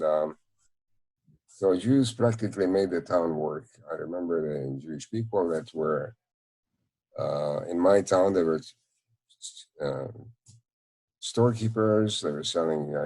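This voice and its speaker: A man in his nineties with a Hungarian accent, recounting memories in an interview in a measured, halting way. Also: sits low, darkish tone. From Czechoslovakia. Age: 90s. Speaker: Holocaust survivor, interviewee. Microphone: video call.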